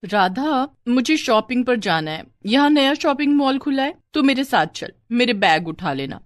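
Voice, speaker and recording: A woman's voice: a little high, big pitch swings, moderate pace, dry recording, clean studio sound, voice pushed up. A woman voicing a spoiled, haughty young woman in a story, bossy and disdainful. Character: spoiled, haughty young woman, scornful of her poor cousin.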